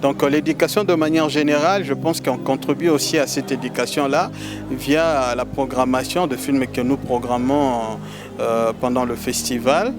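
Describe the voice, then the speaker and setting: A man's voice: medium pitch, fairly even intonation, moderate pace, music in the background. A Congolese man from DR Congo, a festival organizer answering interview questions in a hesitant way, with many filler sounds and repeated words. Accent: Congolese French.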